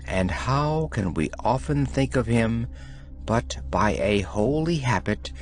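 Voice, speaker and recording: A man reading devotional letters aloud as an audiobook narrator, in a measured, solemn manner. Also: mid-range voice, animated, faint music behind. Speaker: audiobook reader.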